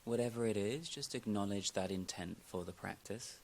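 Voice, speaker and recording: Man, deep voice, creaky fry, calm meditation guide, gentle instructions, long pauses. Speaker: yoga nidra meditation teacher.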